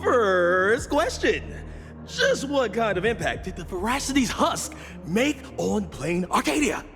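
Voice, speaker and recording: An actor playing a showy, glib bird who hosts a show, with theatrical, drawn-out announcing and chuckles in a game. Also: male voice, high voice, big pitch swings, fairly bright tone, full character voice. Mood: grand, showy. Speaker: talk show host. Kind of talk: game voice acting, show hosting. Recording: long reverb, faint music behind.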